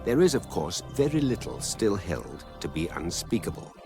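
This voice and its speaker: An actor voicing an electronic guidebook in a radio comedy, urbane and authoritative, with measured, precise, deadpan narration. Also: male voice, low-pitched, music in the background. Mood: dry, amused. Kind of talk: scripted comic radio narration.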